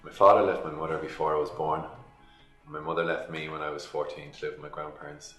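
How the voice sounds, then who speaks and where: A man in his late twenties giving a quiet, sincere scripted testimonial. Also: extremely low pitch, little pitch movement, quick pace, soft background music. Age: 20s. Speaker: employee sharing his story. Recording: roomy video recording.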